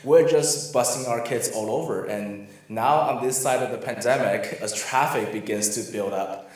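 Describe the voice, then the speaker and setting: A man's voice: medium pitch, moderate pace, a little mellow. A middle-aged man from Taiwan who moved to the US as a child, giving an informal talk as a guest workshop speaker. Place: reverberant room in a church. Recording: very echoey church room.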